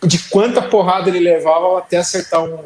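A man's voice: high voice, steady tone, fast, darkish tone, slight background noise. A man co-hosting a podcast, chatting and summing up the guest's point. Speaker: podcast co-host. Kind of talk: podcast interview commentary. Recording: roomy echo.

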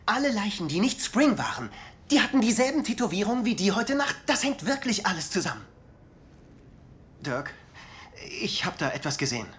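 A man playing a bewildered, blunt sidekick in a dubbed TV drama, with an agitated, halting delivery. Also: sits high, very animated, fairly bright tone. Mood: agitated, puzzled. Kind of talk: dubbed screen drama dialogue.

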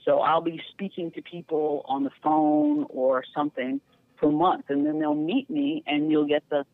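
A middle-aged woman, a podcast guest, telling candid stories in a frank, lively way with easy laughter and a neutral accent. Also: deep voice, moderate pace, dry recording. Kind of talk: candid storytelling. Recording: tinny phone-line sound, dry.

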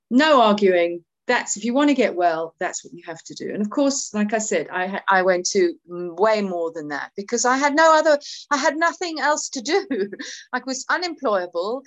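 A middle-aged woman from South Africa with a South African accent, sharing her recovery story in a heartfelt, halting way. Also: mid-range voice. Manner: heartfelt, halting, many restarts. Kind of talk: personal testimony at a recovery meeting.

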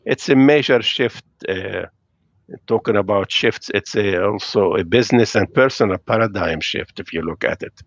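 A man's voice: on the low side, normal speed, dry recording, highs cut off. A middle-aged man from Lebanon, speaking thoughtfully with hesitations as a guest in a remote interview from home. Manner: thoughtful, hesitant with fillers, slightly non-native phrasing. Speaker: podcast guest. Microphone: video call.

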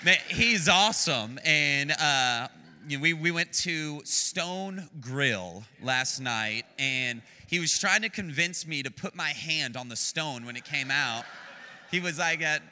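A man's voice: a little high, expressive, slow, pushed and bright. A man in his late twenties with an American accent, preaching as a guest pastor in an enthusiastic, chatty way with jokes for the congregation. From United States. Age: 20s. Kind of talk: sermon. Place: church sanctuary. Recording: long church-hall reverb.

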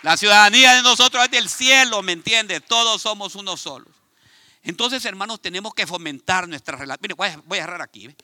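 A man from Ecuador with an Ecuadorian Spanish accent, preaching a sermon in an animated, conversational way and speaking straight to his congregation. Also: sits high, brisk, no echo, some chatter behind. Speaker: pastor. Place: church.

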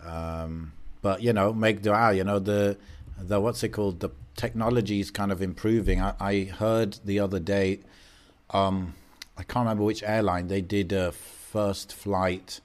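A man's voice: lowish voice, fairly even intonation, measured pace. A man co-hosting a podcast, chatting in an easygoing, musing way with plenty of fillers. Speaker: podcast co-host. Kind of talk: casual chat.

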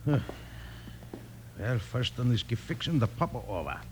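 An actor playing a flustered German immigrant gunsmith in an old radio western, with a thick German accent and garbled half-English speech. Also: male voice, medium pitch, very animated, moderate pace, natural voice. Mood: busy, obliging. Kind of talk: old-time radio comedy western acting. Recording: noisy vintage radio recording with clicks.

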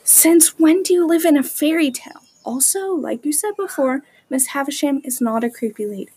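A woman's voice: high-pitched, moderate pace, mellow, natural voice. A woman playing a bold, curious and slightly bossy schoolgirl in an amateur audio drama. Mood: defiant.

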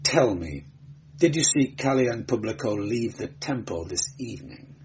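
A man voicing a keen, cool chief investigator in an audiobook, questioning with brisk authority. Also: medium pitch, expressive, darkish tone, close to an everyday voice. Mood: commanding. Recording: dull, noisy amateur recording.

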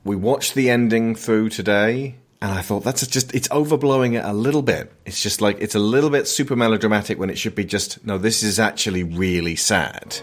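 A man's voice: fairly low pitch, brisk, few pauses. A man hosting a casual film discussion, giving his opinions and wandering into digressions. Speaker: podcast host discussing films.

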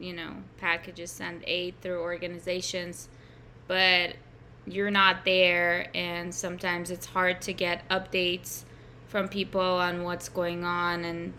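Steady middle-aged woman podcast host. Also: fairly low pitch, slight background noise. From a former Soviet republic. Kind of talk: scripted intro, then interview.

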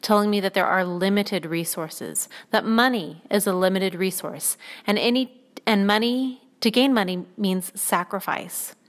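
A woman hosting her own podcast, talking in a soothing, reflective way and pausing often to gather her thoughts. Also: mid-range voice. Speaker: podcast host. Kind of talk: reflective spoken monologue.

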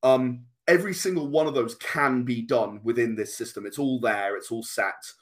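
A man's voice: medium pitch, moderate pace. A man speaking as a guest game designer in a casual interview, explaining in long, enthusiastic runs.

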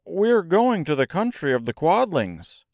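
Storybook scarecrow acted by a man: raised, lilting pitch, emphatic, courteous yet determined. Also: sing-song, measured pace, a little mellow, no room sound, clean studio sound. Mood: insistent. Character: living male scarecrow, earnest, polite but determined.

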